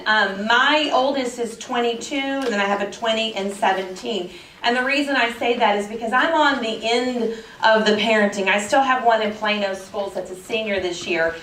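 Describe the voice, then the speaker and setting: A woman's voice: on the high side, a little hiss or hum. A woman, giving a friendly, chatty talk to parents as a professional organizer. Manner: friendly, chatty, says she is naturally loud. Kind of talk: casual presentation to parents. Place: school event room. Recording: echoey room from far off.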